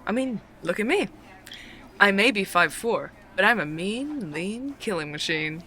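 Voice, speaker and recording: A woman's voice: mid-range voice, expressive, a little slow, sharp tone. A woman playing a small, feisty, boastful character in an audio drama, speaking with punch. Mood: cocky. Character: tiny, cocky, feisty self-declared killing machine.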